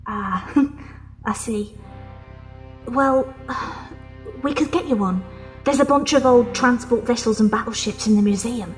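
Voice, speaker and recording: A woman plays a scarred, streetwise resistance fighter with a wry, easygoing manner. She talks slowly and takes her time. Music plays steadily behind her. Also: a little high. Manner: easygoing, wry, quick to laugh. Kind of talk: audio drama acting.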